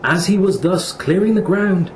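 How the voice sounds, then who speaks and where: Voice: mid-range voice, moderate pace, crowd noise, rough recording. A narrator telling a children's fairy tale in a dramatic, wondering storytelling manner. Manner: wondering, suspenseful questioning.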